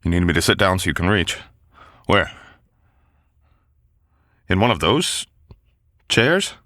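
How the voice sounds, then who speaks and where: A man's voice: sits low, very animated, takes it slowly, sharp tone, no echo, clean studio sound, full character voice. A man voicing a wounded medieval knight in a boyfriend roleplay, gallant and stubborn, speaking in teasing old-fashioned courtly phrases. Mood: courteous. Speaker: voice actor. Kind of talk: boyfriend ASMR roleplay audio.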